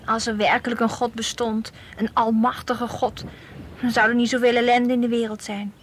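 A woman's voice: a little high, level tone, normal speed, lightly acted. An actress playing an outspoken, sceptical teenage girl who argues emphatically in a radio play. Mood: challenging, sceptical. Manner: challenging, emphatic. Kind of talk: Dutch radio play acting. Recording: faintly hissy old radio play recording.

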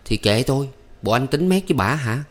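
A man's voice: medium pitch, lively intonation, normal speed, voice pushed up, close to an everyday voice. A man voicing a pert, teasing young farm servant woman in an audiobook, sassy and scolding, with a southern Vietnamese accent.

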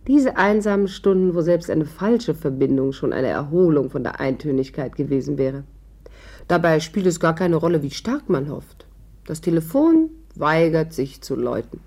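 Sardonic leading lady in an old 1950s radio play, dry and wary, sharp tongue. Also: low-pitched, lively intonation, moderate pace, slightly rough, exaggerated voice. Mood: wry, confiding. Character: sharp-tongued, wary actress, wryly telling her own story. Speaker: actress playing the lead and narrator. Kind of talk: 1950s German radio drama. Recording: vintage 1950s radio production.

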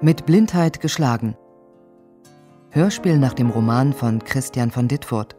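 An actor as a measured, sober announcer reading out the titles of a radio play. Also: male voice, medium pitch, mellow, natural voice. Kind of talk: scripted radio drama announcement. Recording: music behind the voice.

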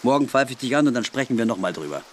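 A man plays a menacing teenage bully in a radio drama, mocking and bossy under false friendliness. His pitch moves around a lot, over faint rain noise. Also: sing-song, rapid-fire, natural voice. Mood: coaxing, menacing. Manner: taunting, commanding, falsely friendly. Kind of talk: radio play acting.

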